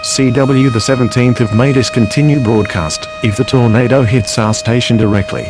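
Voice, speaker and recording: A man's voice: medium pitch, steady tone, normal speed, over music, natural voice. A man playing a composed television news anchor covering a storm, formal and matter-of-fact in a scripted broadcast. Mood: concerned.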